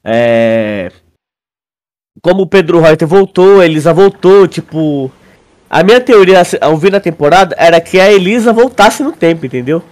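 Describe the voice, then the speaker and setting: Young brazilian guy, pretty high voice, slangy casual podcast talk. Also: lively intonation, moderate pace, a little mellow. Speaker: podcast co-host. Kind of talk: casual chat.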